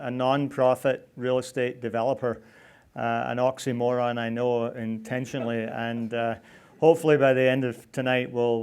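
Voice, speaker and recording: Middle-aged Scottish man, smooth clear voice. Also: mid-range voice, darkish tone. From Scotland, Glasgow. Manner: halting, with many fillers and restarts. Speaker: non-profit housing developer. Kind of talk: public talk to an audience. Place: event venue before an audience.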